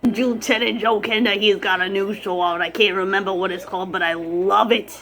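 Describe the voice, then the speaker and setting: A woman's voice: medium pitch, steady tone, normal speed, pushed and bright. A woman playing a chatty, easily distracted, argumentative grandmother on a prank phone call, drawing out her words for emphasis. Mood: gushing, rambling. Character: chatty, defiant, easily distracted grandmother, swooning over TV men.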